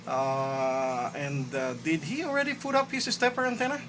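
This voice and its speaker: A man talking casually as an amateur radio operator, halting and repeating phrases, in a noisy phone recording. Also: on the high side, fairly bright tone, recorded from a distance. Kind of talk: casual ham radio contact. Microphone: phone recording in radio room.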